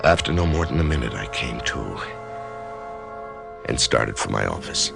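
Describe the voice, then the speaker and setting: A troubled, death-haunted office man tells his tale in a radio drama. His voice is extremely deep and gravelly, yet it rises and falls a lot. A music score plays behind him. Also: lively intonation, normal speed, heavily acted. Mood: brooding. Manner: hesitant, brooding, stammering. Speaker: narrator-protagonist. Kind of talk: 1990s radio drama, first-person narration.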